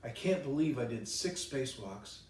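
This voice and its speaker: A man reads a children's story aloud with lively, wide-swinging intonation. His delivery is playful and expressive, slipping easily into chatty asides. The voice sits at a middling pitch and comes through a roomy echo, set back from the microphone. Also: moderate pace, recorded from a distance. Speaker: children's author reading his own book. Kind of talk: animated bedtime story read-aloud.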